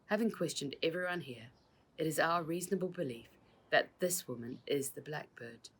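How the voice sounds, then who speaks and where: A woman reads the part of a patient policeman in a children's storybook. He explains himself formally and with measured reason. The voice is husky and a little gravelly. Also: low-pitched. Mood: firm, explaining.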